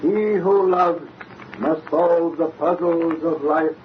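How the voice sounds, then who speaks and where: A muffled, hissy old radio recording carries the ghost of an older father. He speaks slowly and solemnly, with eerie authority, drawing out his words. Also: on the high side. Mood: solemn farewell. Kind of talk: 1930s radio horror acting.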